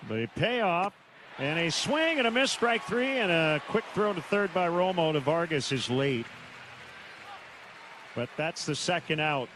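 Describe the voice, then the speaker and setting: A man with a fairly high voice calls a baseball game live for radio. He works as the play-by-play announcer from a stadium booth, with noticeable ambient noise behind him. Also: animated, a little slow, fairly bright tone. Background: outdoor ambient stadium noise.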